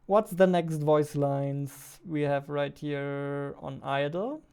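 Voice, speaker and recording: Young guy w chatting casually on stream, clear voice, bit creaky. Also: fairly high pitch, takes it slowly, warm tone. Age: 20s. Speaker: indie game developer streaming his work. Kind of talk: casual livestream chat while working. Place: small indoor home studio. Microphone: close studio mic on boom arm.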